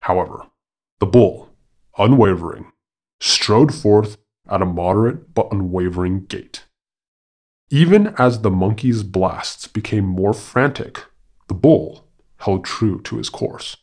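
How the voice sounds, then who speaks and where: A man narrating a scripted animal fable as a podcast storyteller, in a formal, measured and dramatic manner. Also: lowish voice, darkish tone.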